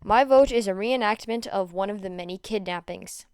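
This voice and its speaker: A weary, unimpressed male teacher, as a woman narrator puts him on in a fan fiction reading. The delivery is dry and deadpan, the sound clean and close with no room echo. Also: medium pitch, moderate pace, smooth, lightly acted. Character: tired, deadpan male high school teacher.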